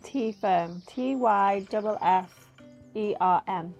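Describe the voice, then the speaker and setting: A woman's voice: medium pitch, takes it slowly, a little mellow, muffled recording, soft background music. Playful woman chatting outdoors. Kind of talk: casual chat.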